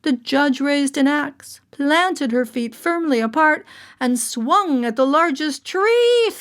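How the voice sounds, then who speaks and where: A woman reading a children's story in a theatrical, deliberate narrating style. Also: high voice, lively intonation, fairly bright tone. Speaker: storyteller, voice actor.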